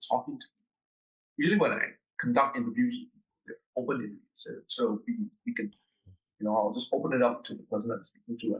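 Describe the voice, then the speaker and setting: A man explains his fieldwork in a loose, conversational way, often stumbling, restarting and repeating small words before he finds the thought. His voice sits at an ordinary pitch, and the recording is slightly dull and lo-fi. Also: creaky. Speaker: researcher guest. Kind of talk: conversational interview.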